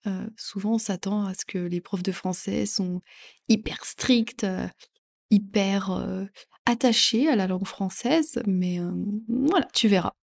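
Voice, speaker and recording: A woman's voice: mid-range voice, measured pace, gravelly, a little mellow. Chatty woman hosting a French podcast. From France. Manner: chatty, many fillers, laughs often. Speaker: podcast host and French teacher. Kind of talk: casual educational podcast talk.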